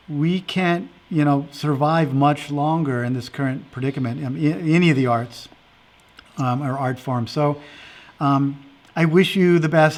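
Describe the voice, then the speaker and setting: A man with a fairly high voice hosts a casual interview. His talk is earnest and meandering, and he keeps restarting his sentences with fillers. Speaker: festival host interviewing dancers.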